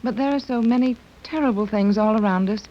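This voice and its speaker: An actress in a 1930s radio serial playing an anxious, gentle, motherly middle-aged woman. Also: on the high side, a little fast, natural voice. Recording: muffled old radio recording with faint hiss.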